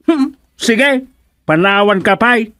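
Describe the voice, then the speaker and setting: An actor playing an earnest, easily offended villager with a halting, exclaiming manner in an Ilocano radio comedy drama. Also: mid-range voice, animated, pushed and bright, dry recording, clean studio sound. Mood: offended, defensive.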